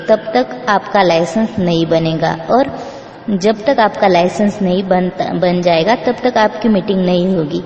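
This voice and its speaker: A woman's voice: medium pitch, a little mellow. A woman recruiter delivering a scripted explanatory message in a firm, instructing manner. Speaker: recruiter reading a job pitch. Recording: echoey, reverberant, muffled, noisy playback.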